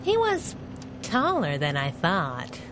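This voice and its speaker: A woman's voice: fairly low pitch, huge pitch swings, slow pace, noisy background, exaggerated voice. An actress playing a sassy young female sidekick in a 1930s radio drama, with a cheeky, slangy, teasing way of talking. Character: sassy, wisecracking young woman, superhero's loyal sidekick.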